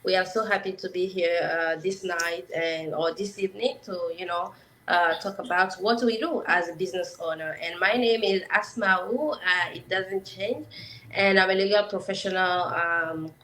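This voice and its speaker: A woman's voice: lowish voice, moderate pace, fairly bright tone. A woman from Benin, speaking as co-host on a live online talk. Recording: roomy echo, faint background noise.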